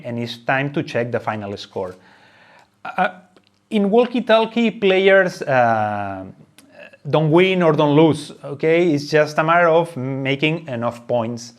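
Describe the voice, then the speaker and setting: A man presenting an unscripted how-to explanation of a game. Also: on the high side. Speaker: presenter of a board game tutorial.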